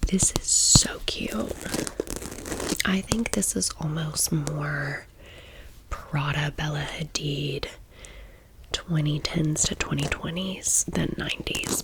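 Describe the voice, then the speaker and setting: Casting: woman, thirties, low whisper for a conversational ASMR storytime with casual millennial slang. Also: rough recording. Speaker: ASMR creator.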